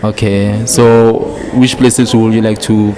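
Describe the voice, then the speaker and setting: A man's voice: fairly low pitch, little pitch movement, takes it slowly, a crowd in the background, low-quality recording. A man hosting a casual interview, chatty and jokey, mixing English with Setswana. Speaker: host.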